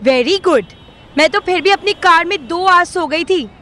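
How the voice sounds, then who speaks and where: A woman's voice: very high voice, expressive, measured pace, fairly bright tone, heavily acted. A woman playing a feisty, sarcastic young woman in an audio drama, exasperated, with an Indian accent and English mixed in. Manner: sarcastic, mixes English into Hindi. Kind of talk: Hindi audio drama acting. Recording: music behind the voice.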